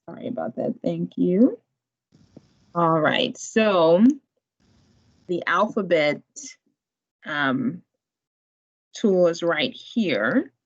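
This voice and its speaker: A woman from the library staff demonstrating a language database in an online tutorial, in a relaxed, chatty way. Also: on the low side, very slow, long pauses. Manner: relaxed, chatty, laughs often. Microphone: video call. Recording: muffled online meeting audio.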